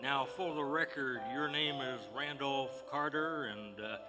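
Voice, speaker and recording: A man playing a gruff, suspicious sheriff with a folksy, badgering manner in a live radio drama. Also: mid-range voice, measured pace, over music, lightly acted. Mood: probing. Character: gruff, suspicious, hard-nosed Southern sheriff in his office. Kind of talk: 1940s radio drama acting. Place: large theater stage, live performance. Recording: live theater stage, long hall reverb.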